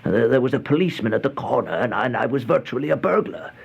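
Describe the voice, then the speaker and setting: An actor playing a starving, feeble, fearful vagrant in an old-time radio horror monologue, halting and stammering, with gasps and whispers. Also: male voice, mid-range voice, expressive, gravelly, husky, dull audio. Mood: anxious, bitter. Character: starving, feeble, once-proud male vagrant turned housebreaker, haunted and fearful. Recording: noisy old radio drama recording.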